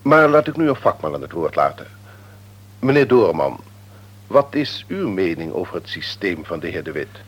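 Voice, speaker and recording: An actor playing a stern, pompous company executive in a radio play, with officious, formal phrasing. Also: male voice, low voice, very animated, moderate pace, slightly rough, exaggerated voice. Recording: old radio play recording.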